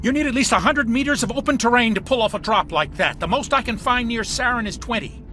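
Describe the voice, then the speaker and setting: A man's voice: very high voice, lively intonation, quick pace, sharp tone, full character voice. An actor voicing a cocky, wisecracking male starship pilot in a video game, wry and sardonic. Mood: tense. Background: game music.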